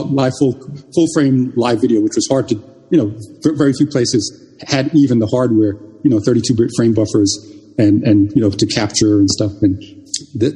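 A man's voice: mid-range voice, dark tone, slightly rough, in a large hall. An older man from Jamaica, a native Jamaican speaker, recalling his career in an interview with many restarts and repeated words. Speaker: guest. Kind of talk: reminiscing interview talk.

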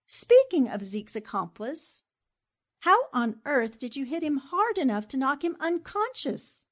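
A woman acting a plucky, quick-witted newspaperwoman in a 1940s-style radio drama, earnest and emphatic. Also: high-pitched, very animated, fairly bright tone, exaggerated voice. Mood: brisk, curious.

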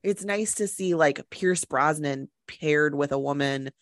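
A woman's voice: deep voice, a little slow, dry recording, clean studio sound. A woman co-hosting a podcast, rambling casually about a film with lots of "like" and "um". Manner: chatty, rambling, with frequent likes and ums. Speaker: podcast co-host.

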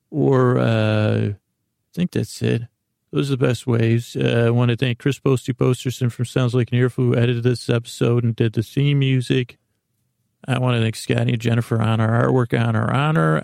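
A man's voice: mid-range voice, vocal fry, a little mellow, dry recording, clean studio sound. A man hosting a bedtime podcast in a soothing, lulling way, meandering and stumbling over his words as he goes. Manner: meandering, halting, word-fumbling. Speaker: podcast host. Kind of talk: rambling bedtime podcast monologue.

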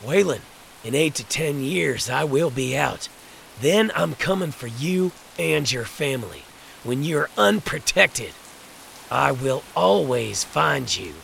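A man playing a cocky, menacing criminal gunman in an audio drama, taunting in a gravelly voice. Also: medium pitch, animated, slow, fairly bright tone, a little hiss or hum, full character voice. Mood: vengeful threat. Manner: taunting, theatrical.

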